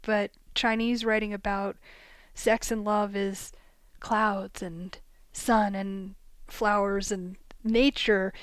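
A woman hosting a radio interview, asking a poet gently curious questions. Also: medium pitch, smooth, no echo. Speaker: radio host. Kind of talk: interviewing a guest.

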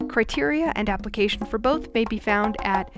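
A woman's voice: medium pitch, normal speed, music in the background. A woman hosting a radio program, asking her guests curious questions and reading announcements. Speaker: radio host.

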